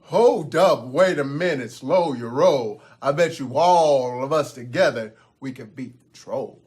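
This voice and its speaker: A man's voice: mid-range voice, unhurried, darkish tone, slightly rough. A man voicing a confident big-brother billy goat with a bold, slangy, rhythmic delivery in a told story. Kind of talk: theatrical storytelling.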